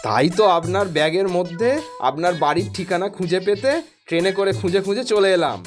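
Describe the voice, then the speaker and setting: A man's voice: high-pitched, fast, faint music behind, close to an everyday voice. A man voicing a genial, courteous Bengali traveller visiting a household in a cartoon. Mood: friendly, curious. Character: genial, courteous male traveller and family acquaintance. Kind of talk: cartoon voice acting.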